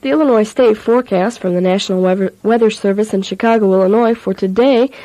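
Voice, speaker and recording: A woman reading a weather forecast as a college radio announcer in the 1980s, in a measured way with occasional stumbles. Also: mid-range voice, warm tone, dry recording, poor audio.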